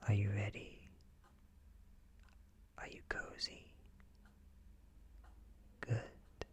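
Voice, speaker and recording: Whispering British man, very slow and breathy. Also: fairly low pitch, huge pitch swings. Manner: slow, hushed, deliberate phrasing with pauses. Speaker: audiobook-style ASMR reader. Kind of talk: soft-spoken ASMR story reading.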